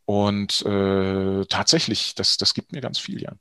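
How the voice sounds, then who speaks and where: A middle-aged man, a professional voice actor and news speaker, talking as an interview guest in a lively, expressive way with frequent hesitations. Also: sits low, measured pace, smooth, no room sound, studio quality.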